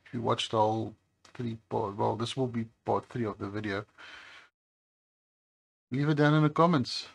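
A man with a low voice talking slowly and casually at his workbench, with many pauses and hesitations. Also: creaky. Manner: slow, relaxed, with many hesitations. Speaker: phone repair channel host. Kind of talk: casual talk to camera while working. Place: workbench by a highway.

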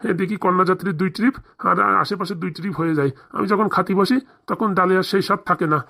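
A man's voice: high voice, steady tone, racing, vocal fry, sharp tone, exaggerated voice. A man voicing an ageing village priest, flustered and garrulous, rambling folksily in a for a comedy cartoon. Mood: complaining.

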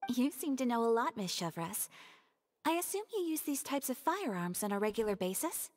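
Polite young noble swordswoman in a game, high clear voice. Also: sing-song, normal speed, slight background noise. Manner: polite, formal.

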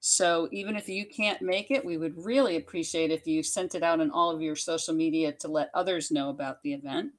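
Woman co-host, slightly low voice, casually reading out viewer questions and notices. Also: steady tone, a little fast, hardly any pauses. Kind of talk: casual hosting chat.

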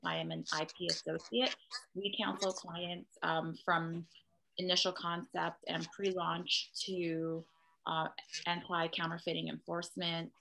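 A woman's voice: fairly low pitch, vocal fry, a bit bright, low-quality recording. A young woman lawyer from New York City introducing herself carefully on a video call panel.

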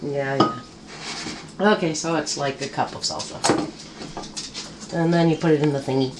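A woman's voice: sits low, fairly even intonation, a little slow, noisy background. Chatty middle-aged woman cooking at home. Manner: chatty and joking, rambling with fillers. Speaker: home cook demonstrating a recipe. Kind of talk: casual cooking demo. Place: home kitchen indoors. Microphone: handheld camera mic nearby. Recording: home video with kitchen clatter. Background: pans and cutlery clatter.

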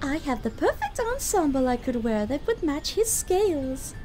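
A woman's voice: sits high, very animated, moderate pace, music in the background. A woman voicing a gushing, fashion-minded ladylike pony in a cartoon comic dub, cooing in an affected way. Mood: adoring.

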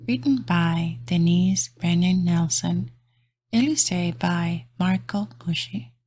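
A woman reading aloud to young children as a storybook host, in a gentle, encouraging, sing-song manner. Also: lowish voice, takes it slowly, no room sound.

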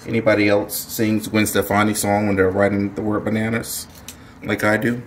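A middle-aged man hosting a gardening channel, giving a relaxed, chatty how-to demonstration with jokey asides in a home kitchen. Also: lowish voice, normal speed, slight background noise.

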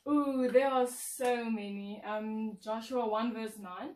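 A woman's voice: a little high, steady tone, slow. Late-teen woman, South African accent, playful; set-back mic in echoey room. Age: late teens. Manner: warm, playful, emphatic. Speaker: co-presenter being interviewed. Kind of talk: casual interview chat. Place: indoor lounge set, seated on couch. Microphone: far camera mic. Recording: roomy echo, distant mic.

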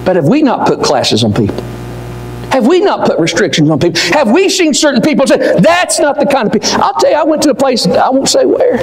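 An older man preaching a sermon from a pulpit, swinging from near-shouts down to low, slow words. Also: sing-song, slight background noise. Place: church auditorium. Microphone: pulpit mic, wide far camera. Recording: echoey hall with long reverb.

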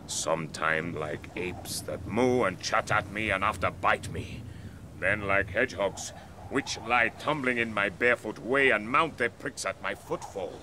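An actor playing a tormented, fearful island monster slave, bitter and brooding, in a Shakespearean audio play. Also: male voice. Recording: very noisy, storm rumble behind.

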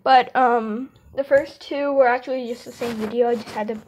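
A woman's voice: on the high side, steady tone, darkish tone, a little hiss or hum, poor audio. A young woman rambling casually and hesitantly as an amateur video creator, often correcting herself. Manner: hesitant, halting, self-correcting. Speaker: amateur comic dub creator.

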